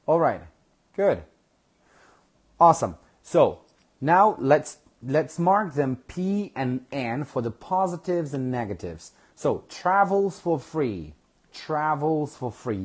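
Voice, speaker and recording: A man teaching a live online English lesson, speaking slowly and repeating phrases for his learners. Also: medium pitch, a little mellow. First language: Kurdish.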